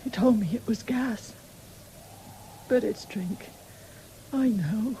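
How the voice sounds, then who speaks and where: An actress playing an ailing, stubborn farm wife with an English accent in a 1970s radio drama, weak and insistent. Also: female voice, fairly high pitch, takes it slowly, warm tone, rough recording. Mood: weary, bitter. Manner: insistent, repeating demands. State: weak and failing. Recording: hissy old radio broadcast recording.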